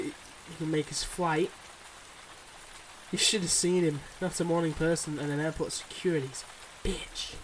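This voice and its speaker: A man's voice: a little high, a little hiss or hum, lightly acted, poor audio. A man playing a teasing, self-deprecating young boyfriend in an intimate roleplay audio drama, speaking straight to the listener with playful banter.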